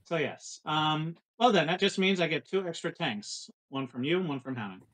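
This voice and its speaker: A man's voice: fairly high pitch, normal speed, fairly bright tone. A man playing a tabletop game, talkative and casual, given to joking asides. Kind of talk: casual chat during a game.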